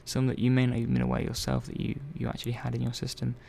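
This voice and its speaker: Man playing a hesitant technician, very gravelly voice. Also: mid-range voice, monotone, dark tone, slight background noise. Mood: cautious, reassuring. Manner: hesitant, halting, trailing off mid-sentence. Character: earnest, hesitant male robot repair technician, quietly protective. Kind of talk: soft-spoken ASMR roleplay.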